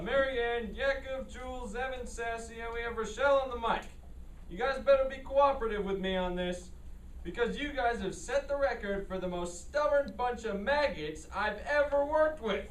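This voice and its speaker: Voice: high voice, a bit bright. A performer playing an angry, bossy television producer in a staged play reading, indignant and scolding. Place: indoor library room, live staged reading. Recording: distant mic in echoey room.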